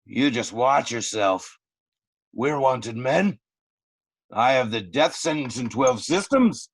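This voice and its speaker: A man's voice: mid-range voice, lively intonation, slow, slightly rough, dry recording, full character voice. A man playing a menacing outlaw thug picking a cantina fight in a sci-fi script reading. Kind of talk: script table read.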